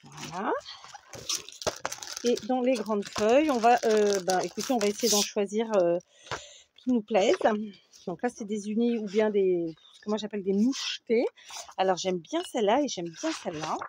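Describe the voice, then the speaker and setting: A woman's voice: medium pitch, expressive, moderate pace, a little mellow, a little hiss or hum. A French woman hosting a casual craft tutorial, chatting and often checking in with her viewers with "d'accord?". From France. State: runny nose. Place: home craft table with a fan running.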